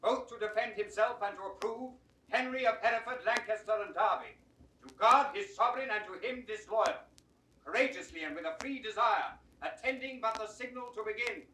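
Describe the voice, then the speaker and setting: An actor playing a formal medieval herald, crying a ceremonial proclamation in declaimed Shakespearean verse. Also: male voice, high-pitched, brisk, pushed and bright. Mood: ringing, formal. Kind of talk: 1960s classical Shakespearean proclamation. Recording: roomy, distant, slightly noisy 1960s recording.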